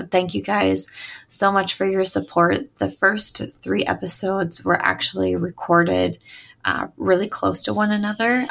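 A young woman hosting her own podcast, chatty and upbeat, with a raspy early-morning voice and lots of "uh" fillers. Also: fairly low pitch. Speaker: podcast host. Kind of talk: casual solo podcast talk.

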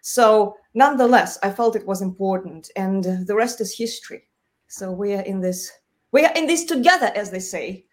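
A woman's voice: medium pitch, normal speed. A woman from Russia, a guest on a podcast, talking and laughing easily. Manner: warm, talkative, laughs easily. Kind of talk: podcast conversation.

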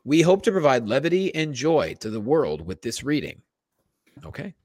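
A man's voice: medium pitch, sing-song, normal speed, darkish tone, dry recording, studio quality. A man co-hosting a comedy podcast, moving between casual banter and mock-dramatic reading. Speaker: podcast co-host.

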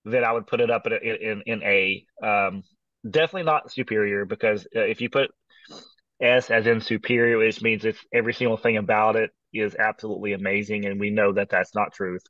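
A man's voice: on the low side, steady tone, a little fast, fairly bright tone, no echo, highs cut off. Stuffy-nosed male podcast host, distant. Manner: hesitant, repeats words while thinking. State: has a cold, slightly nasal. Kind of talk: casual podcast chat.

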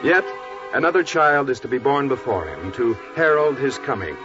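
A man's voice: medium pitch, big pitch swings, normal speed. A man narrating as a radio announcer in a solemn, formal 1940s style. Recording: dull, muffled 1940s radio recording. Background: prominent music.

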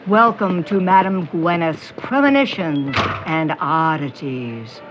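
A woman's voice: deep voice, animated, slow pace, dull audio, background noise. A woman playing a mysterious fortune teller in an audio drama, theatrical and knowing, with honeyed pet names. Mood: sly welcome.

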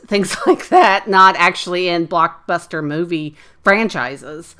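A woman's voice: lowish voice, a little slow, bright, forceful tone, clear, resonant tone. A woman co-hosting a podcast in casual chat, teasing her friend and giggling often. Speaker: podcast co-host. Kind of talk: casual chat about movies and shows.

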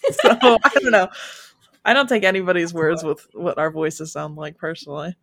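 A woman's voice: fairly low pitch, moderate pace, creaky, no echo. A young adult woman hosting a podcast, chatting casually with many filler words. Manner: chatty, frequent "like" fillers, animated. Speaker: podcast host.

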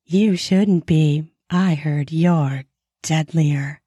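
A man's voice: a little high, drawn-out words, warm tone, husky, dry recording, clean studio sound, voice pushed up, exaggerated voice. A man voicing a bawdy, sly frontier barkeep woman with a Minnesota accent and a teasing, drawling delivery. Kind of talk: audiobook character voice.

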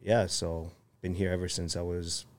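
Young man in his twenties, low voice. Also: flat delivery. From Taiwan. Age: 20s. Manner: light, joking, quick to laugh. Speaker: guest, mason.

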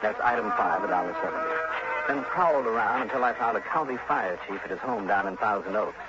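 An actor playing an easygoing, inquisitive insurance investigator and narrator in an early 1960s radio drama, wry and relaxed. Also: male voice, fairly low pitch, fairly quick, music in the background, lightly acted. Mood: dry narration. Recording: noisy, dull vintage radio recording.

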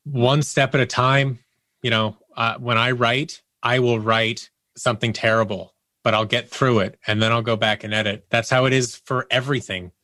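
A man's voice: medium pitch, steady tone, normal speed, bright, forceful tone, no room sound. Plainspoken man, dry close sound. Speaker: podcast guest, audio drama creator and voice actor. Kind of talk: casual interview talk.

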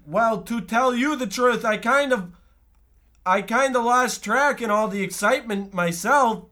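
High-pitched timid little rich boy. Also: measured pace, bright, forceful tone, exaggerated voice. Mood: eager. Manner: gee-golly wholesome, earnest. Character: timid, naive, pampered rich young boy sidekick. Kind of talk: comic parody radio drama.

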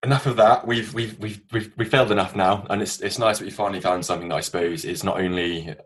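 A man's voice: deep voice, fairly even intonation, fast talker, slightly rough. A man in his twenties with a southern English accent, co-hosting a podcast with chatty, sweary, self-deprecating storytelling. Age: 20s. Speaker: podcast co-host. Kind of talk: casual anecdote telling between friends.

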